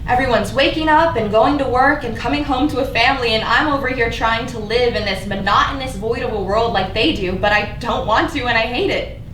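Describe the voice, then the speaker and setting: Woman playing lonely despairing character, higher-pitched voice, blunt and confessional, stage play reading, roomy distant recording. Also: a little fast, fairly bright tone, natural voice. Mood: weary, bitter. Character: lonely, despairing, guarded young person named Sage, bitterly blunt. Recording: echoey room, distant mic, background noise.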